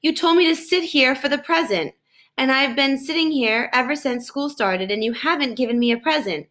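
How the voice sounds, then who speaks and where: A woman's voice: high-pitched, moderate pace, fairly bright tone, pitched above the performer's own voice. A woman reading a storybook as a blunt, earnest five-year-old girl with a plaintive manner. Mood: insistent, blunt. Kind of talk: children's storybook read aloud.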